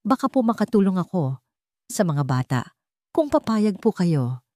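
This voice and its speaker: Woman reader as young female server, Filipino accent, gentle, respectful, soft-spoken, audiobook drama. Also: fairly low pitch, big pitch swings, moderate pace, dry recording, clean studio sound. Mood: respectful, hopeful.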